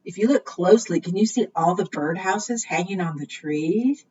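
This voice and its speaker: A woman's voice: fairly low pitch, lively intonation, normal speed, a little mellow, slight background noise. A woman reading aloud to young children as a storytime leader, in a sing-song way, often putting questions to her listeners. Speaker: librarian leading preschool storytime. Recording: muffled, distant microphone.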